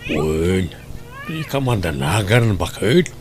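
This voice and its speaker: A man's voice: deep voice, sing-song, takes it slowly, slight background noise, exaggerated voice. A man playing a hard-working husband, irritable yet reassuring, in an audio drama, with an Ilocano Filipino accent.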